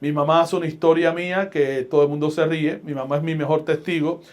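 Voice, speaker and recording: A middle-aged man with a Puerto Rican accent, speaking as a guest pastor and radio broadcaster. He talks in a measured, emphatic preaching cadence and leans on each phrase. His voice sits a bit higher than most men's. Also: steady tone. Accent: Puerto Rican Spanish. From Puerto Rico. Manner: deliberate, emphatic preacher's delivery with scripture quotes. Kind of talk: podcast interview, storytelling.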